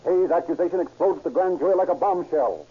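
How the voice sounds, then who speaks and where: A man's voice: a little high, brisk. Male radio narrator over music. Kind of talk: 1940s radio narration, scripted and dramatic. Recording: muffled vintage radio recording, loud music.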